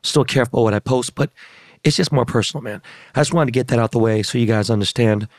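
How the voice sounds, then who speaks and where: A hoarse man hosting a podcast in casual chat, talking in slangy, profane, hyped-up street style. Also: medium pitch, fast talker, no echo, studio quality. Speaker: podcast host.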